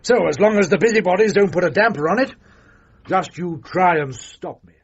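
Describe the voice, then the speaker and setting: An actor playing a pompous, patronising British upper-class banker in a radio drama, speaking in a measured way. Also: male voice, high-pitched, husky. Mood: smug. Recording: muffled, faint noise.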